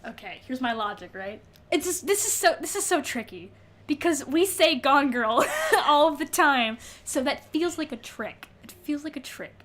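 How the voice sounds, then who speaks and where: A woman's voice: high-pitched, lively intonation, normal speed, fairly bright tone. A young adult woman co-hosting a podcast in casual comedic chat, playful and slangy, given to exaggeration and repeating words for emphasis. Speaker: podcast co-host.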